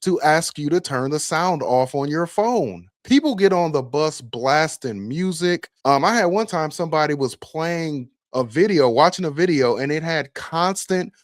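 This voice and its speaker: A man's voice: on the high side, expressive, moderate pace, few pauses, no room sound. American man, casual commentary, dry close sound. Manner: opinionated, rhetorical questions, emphatic. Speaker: bus operator and video host.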